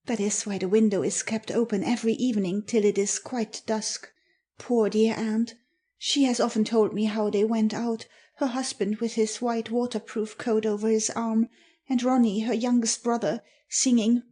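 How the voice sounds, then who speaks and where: Woman playing a soft, breathy storytelling young girl. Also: on the high side, level tone, moderate pace, natural voice. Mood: wistful, eerie. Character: self-possessed young girl, niece telling an eerie tale. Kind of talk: dramatic audiobook reading.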